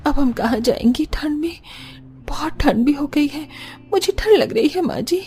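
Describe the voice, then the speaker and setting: A woman's voice: high voice, expressive, moderate pace, a little mellow, a little hiss or hum, pitched above the performer's own voice. A woman voicing a meek, suffering young pregnant daughter-in-law in a cartoon, pleading and plaintive. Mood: worried, plaintive.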